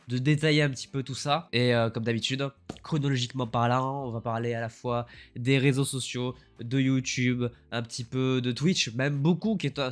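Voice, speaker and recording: A man speaks with a congested, husky voice from a cold, at an ordinary middle pitch. He rambles enthusiastically with plenty of fillers while a faint guitar tune plays behind him. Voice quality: slightly lower, husky voice from the cold. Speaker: YouTuber. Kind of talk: year-in-review vlog talk.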